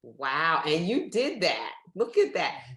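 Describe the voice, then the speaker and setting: Lower-voiced woman host, super enthusiastic faith podcast vibe, echoey room. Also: very animated, slow, a bit bright. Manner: enthusiastic, preacherly.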